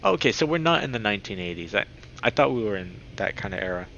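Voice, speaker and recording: A man reading a visual novel aloud as a streamer, with expressive reactions. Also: lowish voice, fast talker. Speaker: video game streamer. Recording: dull lo-fi mic with clear background noise.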